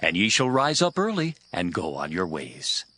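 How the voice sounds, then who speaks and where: A man's voice: low voice, normal speed, exaggerated voice. A man playing Lot, a humble and anxious man pleading, in a dramatized Bible reading. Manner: pleading, lively intonation. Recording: voice over clear music.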